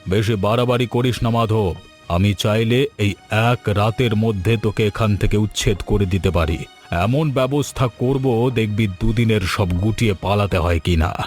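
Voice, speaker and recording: A man's voice: lowish voice, moderate pace, faint music behind, close to an everyday voice. A man voicing a haughty older rich landlord in a cartoon, pompous and scornful. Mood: threatening. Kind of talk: scripted cartoon dialogue.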